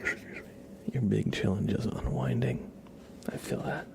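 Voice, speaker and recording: A man speaking in a soft, gravelly whisper, chatting casually and gently as an ASMR live streamer greeting viewers. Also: on the low side, sing-song, normal speed, noisy background. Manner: gentle, rambling chat with viewers and pet. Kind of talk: ASMR livestream casual chat.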